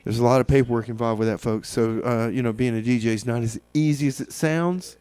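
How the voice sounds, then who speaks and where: A man's voice: mid-range voice, fairly even intonation, brisk, a little mellow, clean tone. A man hosting a music radio show with casual, hesitant, tongue-in-cheek patter, often repeating phrases for effect. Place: radio studio.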